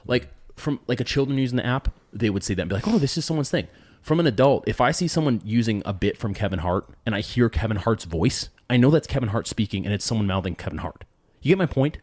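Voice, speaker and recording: A man's voice: medium pitch, lively intonation, quick pace, a little mellow, dry recording. A man co-hosting a podcast, debating in casual talk, often restarting sentences and pushing his point. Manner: argumentative, restarts sentences, rising when pressing a point. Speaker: podcast co-host.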